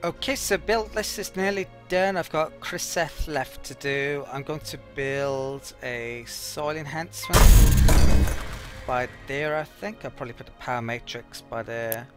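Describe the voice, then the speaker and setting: A man's voice: medium pitch, unhurried, fairly bright tone, soft background music. A man giving casual gameplay commentary as a let's play host, thinking aloud in a hesitant, rambling way.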